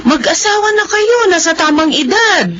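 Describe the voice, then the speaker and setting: An actress playing an outspoken friend who questions the wife's choices in a radio-style drama. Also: female voice, very high-pitched, animated, normal speed, a bit bright, dull audio, a little hiss or hum. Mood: blunt. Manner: blunt, questioning. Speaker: friend, business contact.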